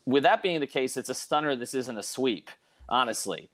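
A man hosting a casual sports discussion, thinking aloud with halting, repeated words as he puts questions to a panel. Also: mid-range voice, expressive. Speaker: host of a sports podcast panel.

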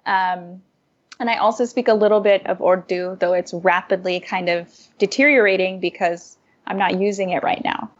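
A young adult woman being interviewed, giving thoughtful, animated answers with fillers and restarts. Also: medium pitch, normal speed. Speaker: guest, former diplomat and food blogger. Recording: muffled lo-fi audio.

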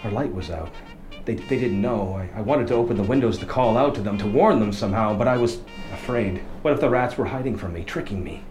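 An actor playing a haunted lighthouse keeper who tells a horror tale in a brooding, halting, dramatic radio play monologue. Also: male voice, fairly low pitch, a little mellow, soft background music, lightly acted. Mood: tense, hushed dread. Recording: echoey, noisy location recording in lighthouse.

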